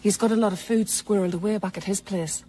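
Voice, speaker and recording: A woman's voice: mid-range voice, fairly even intonation, quick pace, warm tone, slight background noise, lightly acted. An actress playing a hopeful, practical female survivor in a radio drama.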